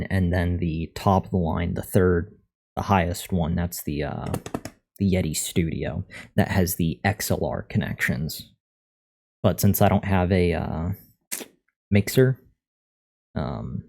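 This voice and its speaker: Male live streamer, very low and relaxed, monotone, casual desk-mic chat while learning to code. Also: gravelly. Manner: thinking aloud, reading out and answering chat. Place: untreated room at a computer. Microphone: USB condenser microphone at a desk.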